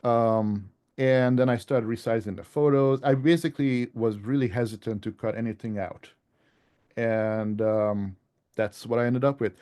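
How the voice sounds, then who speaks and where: A man presents his work to a club in an informal, conversational manner. His voice is mellow and relaxed, at an ordinary male pitch. He comes across as self-deprecating as he walks through his slides. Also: normal speed, smooth. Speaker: author giving a club presentation.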